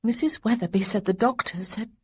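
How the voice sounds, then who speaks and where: A woman voicing Honoria, a nervous, tender young gentlewoman from a storybook romance. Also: mid-range voice, slight background noise, voice pushed up, natural voice. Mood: anxious. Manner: fluttery, quick, softly anxious.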